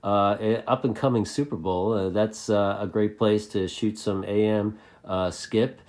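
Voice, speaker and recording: A man with an American accent and a fairly low voice talks off the cuff to fellow hobbyists. He rambles in a casual way, often saying a phrase twice to drive it home. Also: steady tone, a little slow, darkish tone. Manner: rambling, repeats phrases for emphasis. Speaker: CB radio hobbyist video host. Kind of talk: casual unscripted video chat to fellow hobbyists.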